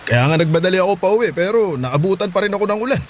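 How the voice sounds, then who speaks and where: A man's voice: high voice, animated, quick pace, slight background noise. A man playing a hardworking middle-aged father in a Filipino radio drama, plain-spoken and reassuring, with a Filipino accent. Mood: weary, easygoing. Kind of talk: radio drama acting in Tagalog.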